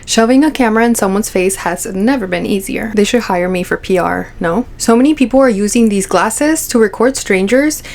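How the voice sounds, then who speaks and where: Young woman ranting about stuff, sarcastic asides, mid-pitched voice. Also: a little fast, hardly any pauses, a little mellow. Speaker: commentary YouTuber. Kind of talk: casual opinion commentary.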